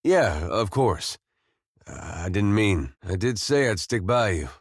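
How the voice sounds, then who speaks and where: A man voicing a gentle, world-weary farmer turned adventurer in a video game, plain-spoken and loyal, with a folksy, understated, dryly humorous manner. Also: on the low side, animated, takes it slowly, slightly rough, no room sound, studio quality, exaggerated voice. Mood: troubled, thoughtful. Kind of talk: video game companion dialogue.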